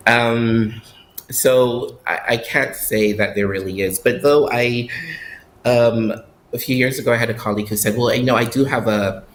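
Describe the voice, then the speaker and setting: A man's voice: mid-range voice, fairly even intonation, moderate pace, slight background noise. A man from Barbados, a guest who lives abroad, talking casually in an interview with many fillers and restarts.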